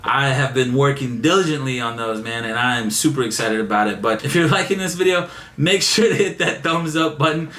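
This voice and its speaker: Excited young man, slightly raspy, echoey room. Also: medium pitch, fairly quick, few pauses. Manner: excited, repeats words, says man a lot. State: still a bit raspy after laryngitis. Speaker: vlogger. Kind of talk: casual vlog chat to camera.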